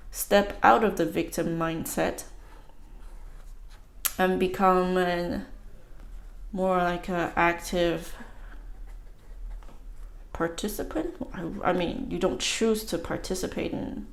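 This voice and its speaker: A woman from the United States hosting her own channel, talking casually and thinking aloud in a halting, musing way while she doodles. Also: fairly low pitch, vocal fry. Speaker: channel host.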